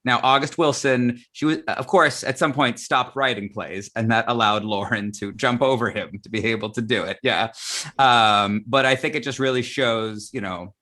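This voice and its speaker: American guy hosting a live talk show, super bright voice, mid pitch, upbeat n chatty. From Arizona, United States. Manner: enthusiastic, informal.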